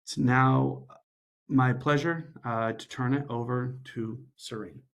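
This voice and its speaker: A man's voice: mid-range voice, fairly even intonation, slow, vocal fry. A man hosting a webinar, reading a formal, scripted introduction of the speaker. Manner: formal, scripted, quieter than usual. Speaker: webinar host.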